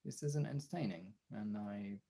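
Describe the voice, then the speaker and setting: A man chatting candidly on a livestream in a halting, husky, gravelly voice, swearing casually, recorded on a phone. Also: fairly low pitch, mellow. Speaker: live streamer and voice actor. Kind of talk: casual confessional livestream chat.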